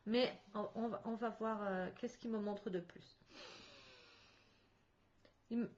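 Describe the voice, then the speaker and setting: Woman, medium, video call, dull audio, stop-start speech, laughs often. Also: medium pitch. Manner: lively, laughs often, halting restarts. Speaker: medium in a spirit contact session. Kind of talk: video call session, describing sensations.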